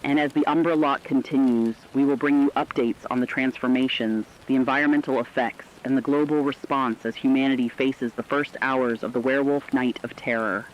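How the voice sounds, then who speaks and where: A man's voice: mid-range voice, steady tone, slight background noise, natural voice. Man as serious radio co-anchor, slightly muffled. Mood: serious, warning. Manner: serious, urgent, deliberate. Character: earnest male radio co-anchor warning of werewolf catastrophe. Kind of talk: scripted dramatic news narration.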